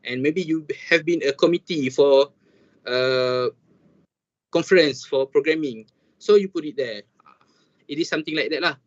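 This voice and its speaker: A young Malaysian man with a Malaysian English accent, giving an informal online workshop talk in a halting way, with many fillers and Malay words mixed in. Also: fairly high pitch, no echo. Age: young adult. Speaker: workshop speaker. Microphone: video call. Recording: dull, dry lo-fi call audio.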